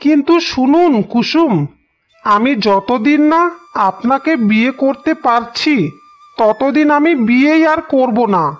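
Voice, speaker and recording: A woman's voice: high-pitched, a little slow, highs cut off. A woman playing a devoted, polite young male suitor in a cartoon fairy tale, speaking earnestly and solemnly. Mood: resigned, devoted.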